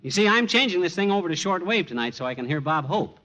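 A man's voice: high voice, sing-song, fast talker. A man playing a folksy, blustering know-it-all husband in 1940s radio comedy style. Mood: folksy, confident. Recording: dull, noisy 1940s radio recording.

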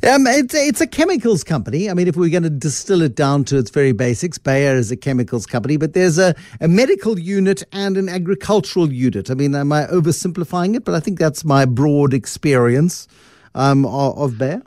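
A man hosting a radio business show, questioning his guest in a chatty, lively way with asides. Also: a little high, a little fast, no room sound, studio quality. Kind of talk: radio interview.

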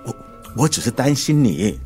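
A man's voice: mid-range voice, very animated, normal speed, mellow, faint music behind, natural voice. An older man with a Singaporean accent acting in a radio drama as an anxious, loyal family chauffeur and fussy father who stammers when flustered. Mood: fretful, concerned.

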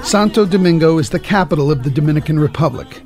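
A man narrating a documentary from a script in a measured, reflective way. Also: on the high side, expressive, over music.

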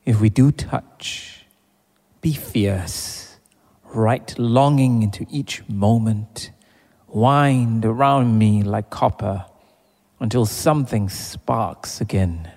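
A man reciting poetry in a deliberate way, phrase by phrase. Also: on the low side, darkish tone. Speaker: poetry reader. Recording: long reverberant hall sound.

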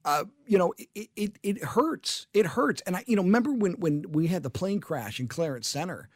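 A man's voice: high-pitched, lively intonation, normal speed, dry recording, studio quality. Impassioned Buffalo radio host man. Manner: impassioned, rambling with restarts. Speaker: radio talk show host. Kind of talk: talk radio monologue. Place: radio studio. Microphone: studio microphone.

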